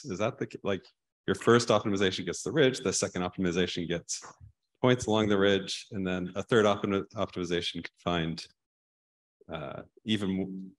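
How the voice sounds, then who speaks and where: A man chairing a session and casually asking technical questions. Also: sits low. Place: large lecture theatre.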